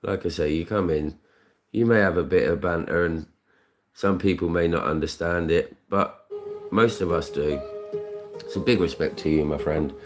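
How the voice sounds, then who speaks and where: A British man with a deep voice, casually chatting on his scratch card live stream, thanking viewers by name and rambling through asides. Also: moderate pace, soft background music.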